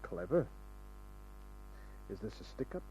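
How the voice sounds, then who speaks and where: An actor playing a wisecracking, world-weary private detective in an old radio crime drama, with dry, sardonic delivery. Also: male voice, on the low side, sing-song, measured pace, mellow. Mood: wry, guarded. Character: wisecracking hard-boiled male private detective. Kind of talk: 1940s-50s radio crime drama narration and dialogue. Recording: dull old radio recording with music.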